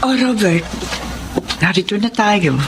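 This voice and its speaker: A woman playing an anxious, gentle middle-aged widowed mother in a Filipino radio drama, with a Filipino accent. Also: mid-range voice, unhurried, heavy background noise, lightly acted. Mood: cautious.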